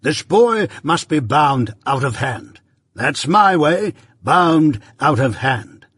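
A man's voice: a little high, big pitch swings, slow, a bit bright, husky, no room sound, clean studio sound, voice pushed up, heavily acted. A man voicing a pompous, self-satisfied older uncle, a patronizing seed merchant in a storybook. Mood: officious, self-important.

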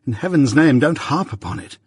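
A man reading an audiobook voices an anxious young gentleman who speaks in proper, strained tones. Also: a little high, lively intonation, fairly quick, slightly rough, no echo, studio quality, voice pushed up. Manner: proper educated speech, strained. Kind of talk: audiobook character voice.

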